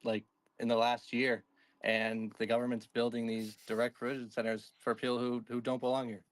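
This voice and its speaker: A man's voice: medium pitch, flat delivery, moderate pace, no room sound, poor audio. A man as an interview guest, answering casually and hesitantly, repeating words as he goes. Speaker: guest, group spokesman.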